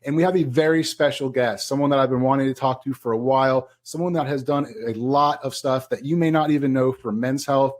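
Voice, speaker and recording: A man hosting a podcast, casual and upbeat, asking his guest questions. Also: medium pitch. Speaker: podcast host.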